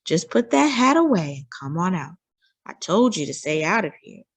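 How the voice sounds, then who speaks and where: A woman voicing a firm but tender mother in a storybook, soft and measured. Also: fairly low pitch, sing-song, slightly rough, exaggerated voice. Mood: quietly firm.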